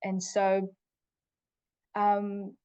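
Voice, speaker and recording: A woman's voice: mid-range voice, monotone, a little mellow, smooth, dull audio. Reflective female podcast guest. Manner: thoughtful, reflective, with frequent "um" pauses. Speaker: guest, teacher. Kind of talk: casual conversational interview.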